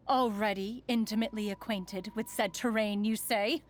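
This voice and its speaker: A woman's voice: mid-range voice, steady tone, a little slow, natural voice. A woman playing a proud, aloof immortal crane in human form for a game, with refined, formal, archaic speech. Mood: wistful, proud. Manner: haughty, formal, archaic speech, calls herself "one". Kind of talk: scripted game character voice lines.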